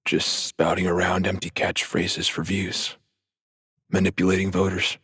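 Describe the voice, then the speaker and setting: A grave, earnest young male politician in a video game scene. His low voice is very husky and breathy, with little melody and somber restraint. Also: flat delivery, unhurried, a bit bright, no room sound, studio quality, full character voice. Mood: somber, resolute. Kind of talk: video game cutscene acting.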